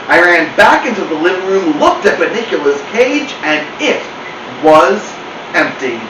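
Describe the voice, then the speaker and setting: A man's voice: sits high, pitched above the performer's own voice. A man voicing a dramatic, self-pitying house cat telling a tale in an emphatic, theatrical way. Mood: suspenseful. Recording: roomy, distant mic, clear hum.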